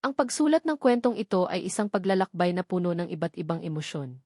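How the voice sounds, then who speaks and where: A woman's voice: on the low side, fast talker, mellow, dry recording, clean studio sound. A woman reading a scripted romance story as a dramatic narrator.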